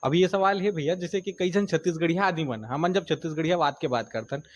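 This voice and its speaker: A man's voice: on the high side, fast, lo-fi sound. Hesitant Chhattisgarhi-accented male interviewer. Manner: hesitant, many fillers. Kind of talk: interview questions.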